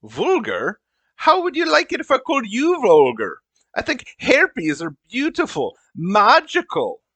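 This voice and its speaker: A man's voice: very high-pitched, wildly swooping pitch, a little slow, sharp tone, slightly rough, dry recording, full character voice. A man voicing a vain, indignant elf mage in a comedy, with a foreign accent and a haughty, theatrical delivery. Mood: offended, proud. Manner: haughty, dramatic.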